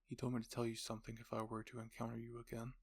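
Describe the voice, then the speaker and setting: A man voicing a grave, reserved older military instructor in a story, earnest and deliberate. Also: mid-range voice, completely flat delivery, natural voice. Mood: solemn.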